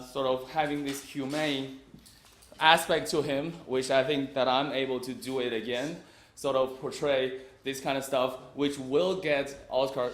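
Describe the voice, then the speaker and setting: A young man giving a competitive debate speech, arguing his case with frequent hesitations and fillers. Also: medium pitch. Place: university debate room. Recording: echoey room.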